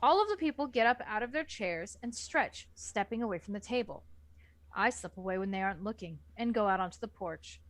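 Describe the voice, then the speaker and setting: A woman's voice: mid-range voice, lively intonation, a little fast, no echo. A woman reading scripted first-person story narration as the narrator of an amateur book reading.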